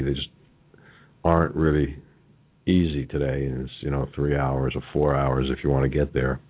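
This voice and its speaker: A middle-aged or older man with a New York accent, hosting a conversational business interview in a halting, reflective way. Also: extremely low pitch. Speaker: business talk show host. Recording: muffled, band-limited lo-fi audio.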